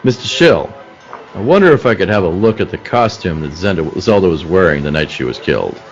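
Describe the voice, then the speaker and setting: A man playing an authoritative police detective in a radio play, deliberate and no-nonsense. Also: sits low, animated, lo-fi sound, faint music behind. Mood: probing.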